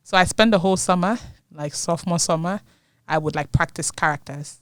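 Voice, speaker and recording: A woman's voice: fairly low pitch, fairly quick, a bit bright, dry recording. A young woman in her twenties from Nigeria, speaking Yoruba natively, talking as a guest in a casual interview and laughing often between phrases. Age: 20s. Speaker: guest, university student.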